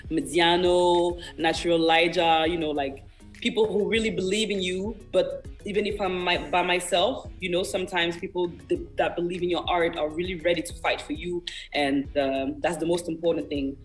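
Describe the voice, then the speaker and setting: A man's voice: high voice, fairly even intonation, normal speed. A young man whose first language is French chats as a guest on a radio show. He speaks in an earnest, encouraging way, with music faint in the background. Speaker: independent singer-songwriter, interview guest. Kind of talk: casual radio interview talk.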